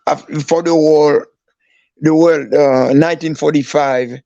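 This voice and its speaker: A man with a Haitian accent and a gravelly, fairly high voice tells stories as a guest. He is animated and acts out the dialogue he quotes. The recording is dry and a little dull. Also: takes it slowly, dry recording. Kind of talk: casual storytelling.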